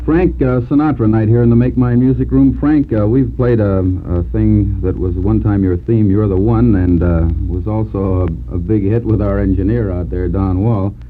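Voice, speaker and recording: A man working as a radio disc jockey, interviewing in an informal, halting, admiring way in 1950s radio style. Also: fairly low pitch, fairly even intonation, narrow, tinny audio. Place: radio studio. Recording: band-limited 1950s radio recording, music.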